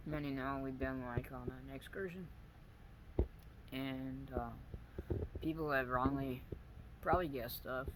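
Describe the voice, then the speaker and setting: Soft-voiced man with a halting delivery. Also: mid-range voice. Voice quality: weak, soft voice. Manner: halting, searching for words, many pauses and fillers. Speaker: vlogger. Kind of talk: personal video diary. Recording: audible background noise.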